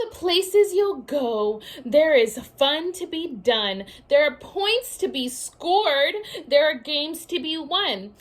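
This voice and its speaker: A woman teacher doing an expressive children's read-aloud, speaking directly to her young listeners in an animated way. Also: high-pitched. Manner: animated, addresses children directly with questions and asides. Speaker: teacher reading a story aloud to young pupils.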